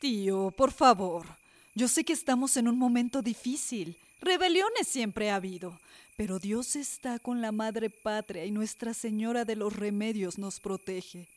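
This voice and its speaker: A woman's voice: medium pitch, measured pace, close to an everyday voice. An actress playing a devout, anxious young lady in a radio drama, proper and pleading. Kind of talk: Spanish-language radio drama acting.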